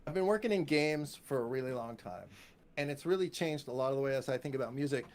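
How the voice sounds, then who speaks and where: A man's voice: medium pitch, expressive, fast talker, darkish tone. A man chatting casually as a guest on a stream, talking in a chatty, rambling way. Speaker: composer, interview guest.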